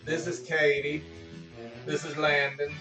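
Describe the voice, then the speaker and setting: A man's voice: a little high, level tone, unhurried. Distant, roomy-sounding man hosting a casual stream. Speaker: live stream host. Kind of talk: casual pre-show chat. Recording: roomy, distant mic, music behind.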